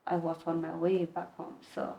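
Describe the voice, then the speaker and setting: A woman in her twenties from Kenya, speaking English as a second language, telling her personal story as a podcast guest in a soft, halting voice. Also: fairly low pitch, monotone, gravelly. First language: a language other than English. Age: 20s. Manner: soft-spoken, halting, plain wording. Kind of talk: personal testimony in conversation.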